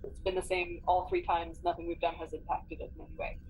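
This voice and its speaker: A woman's voice: lowish voice, huge pitch swings, quick pace, a little mellow, slight background noise, low-quality recording. A woman playing a tabletop roleplaying game, casually discussing and planning her next moves.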